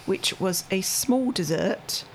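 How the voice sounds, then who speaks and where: A woman's voice: mid-range voice, animated, slow, a little hiss or hum, low-quality recording. A middle-aged British woman chatting casually, good-humoured and easily amused. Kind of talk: casual chat.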